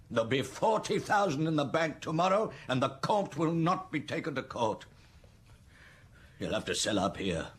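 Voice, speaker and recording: An actor playing a middle-aged estate steward in an audio drama, aggrieved and gossipy, with a grumbling, knowing manner. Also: male voice, medium pitch, fairly bright tone.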